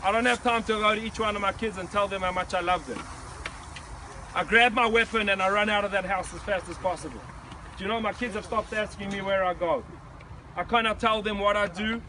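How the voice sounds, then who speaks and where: A man's voice: sits high, fairly quick, a little hiss or hum, low-quality recording. A middle-aged man with a South African accent giving an earnest, emphatic motivational speech to a visiting group outdoors. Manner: earnest, emphatic, acts out quoted shouting. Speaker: speaker addressing a visiting group.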